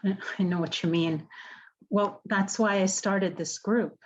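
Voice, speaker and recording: A woman acting in a radio drama as an earnest, remorseful prison visitor with a gentle, hesitant way of talking. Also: mid-range voice, fairly even intonation, measured pace, muffled recording, natural voice. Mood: earnest, contrite.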